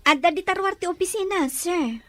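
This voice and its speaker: High-voiced deferential office employee. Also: female voice, normal speed, faint music behind. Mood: helpful, deferential. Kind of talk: radio drama acting.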